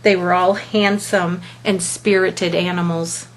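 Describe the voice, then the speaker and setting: A woman reading a children's picture book aloud as a storyteller, with deliberate, expressive phrasing. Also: on the low side, rough recording.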